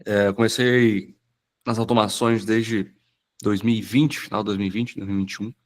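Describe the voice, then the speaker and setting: A man with a cold, hoarse and a bit nasal, giving a casual live presentation on a video call with many fillers and restarts. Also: fairly low pitch, fairly even intonation, moderate pace. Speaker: automation business owner presenting in a webinar.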